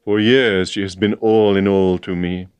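A man's voice: sits low, steady tone, a little slow, a little mellow, clean tone, dry recording. Man voicing a stern, sentimental old army colonel. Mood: solemn, sentimental. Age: older. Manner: grave, emphatic, clipped military speech.

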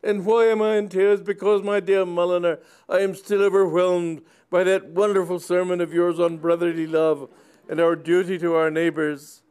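A man playing a pompous, tearful old male country squire who speaks loudly and grandly. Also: level tone, normal speed, smooth, close to an everyday voice. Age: older. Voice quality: high, loud and pompous.

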